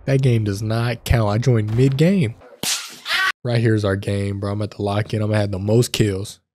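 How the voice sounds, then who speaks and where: A man with a stuffy nose from a cold, hosting casual gameplay commentary, chatty and prone to excited outbursts. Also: fairly low pitch, normal speed, slight background noise. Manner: chatty, addresses viewers as fellas, excited outbursts. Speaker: gaming channel host. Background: faint game gunfire.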